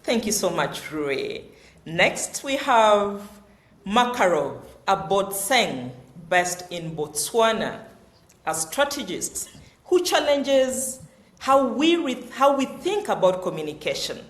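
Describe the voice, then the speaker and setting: A woman hosting a webinar, introducing each speaker in a formal, measured way. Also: medium pitch, very animated. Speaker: webinar host. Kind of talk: moderating a webinar. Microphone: video call. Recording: roomy echo.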